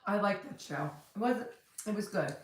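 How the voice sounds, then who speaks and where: A chatty woman with a New Jersey accent rambles on in a casual, blunt way. Her pitch sits in a middle range. The room around her is echoey. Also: normal speed, distant mic. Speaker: podcast co-host. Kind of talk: casual chat.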